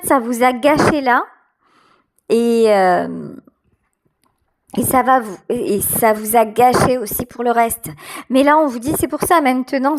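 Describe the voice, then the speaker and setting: A woman giving an unscripted tarot reading directly to the listener, halting and repeating her phrases. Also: a little high, slight background noise.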